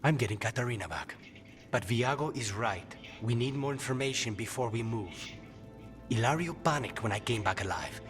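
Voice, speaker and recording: A man's voice: medium pitch, animated, moderate pace, lightly acted. Man acting a brooding Spanish-accented assassin, music behind. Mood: resolved. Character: troubled male assassin sharing his mind with a demon. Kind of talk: video game voice acting.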